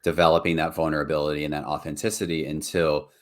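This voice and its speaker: Podcast co-host, man, very deep low voice, earnest and reflective, conversational delivery. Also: level tone, fast talker. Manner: earnest, reflective, frequent "right?" and "like". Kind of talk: casual earnest discussion.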